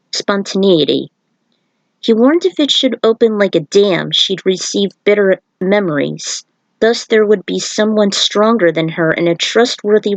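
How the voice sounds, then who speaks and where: A woman's voice: medium pitch, no room sound. Woman casually reading a story, dry sound. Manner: informal, breaks off for offhand commentary. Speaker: fan fiction reader and author. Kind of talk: casual read-aloud narration with asides.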